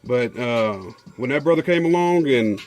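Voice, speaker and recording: A man's voice: medium pitch, expressive, moderate pace. A man, chatting casually as he unboxes car audio gear, rambling with lots of fillers and restarts.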